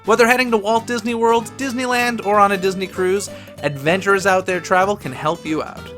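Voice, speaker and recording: A man's voice: high-pitched, fairly even intonation, fairly quick, bright, forceful tone, faint music behind. A man hosting a podcast, reading an ad and asking a guest short questions. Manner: brief reactions, reads ad copy. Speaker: podcast host. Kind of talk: interview and ad read.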